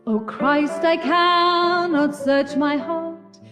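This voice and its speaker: Very high woman singing a slow hymn. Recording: long hall reverb with music.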